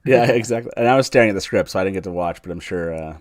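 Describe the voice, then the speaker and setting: A man's voice: low voice, rapid-fire. A man with an informal, enthusiastic manner hosting a casual fan livestream chat over a video call. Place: live online video call.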